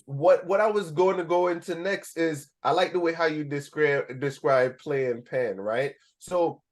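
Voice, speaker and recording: A man's voice: on the high side, a little slow. A man doing a casual interview, encouraging and putting in short agreements.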